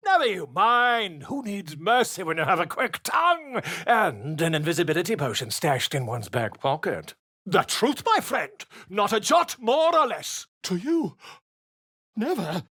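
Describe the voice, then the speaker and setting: Casting: self-important travelling author-bard, fantasy game. Grandiose, florid, highly animated intonation, husky edge. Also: male voice, no room sound, clean studio sound, heavily acted. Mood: chatty, self-assured. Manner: florid, grandiose, wordy delivery. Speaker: video game character actor. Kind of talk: theatrical fantasy game dialogue.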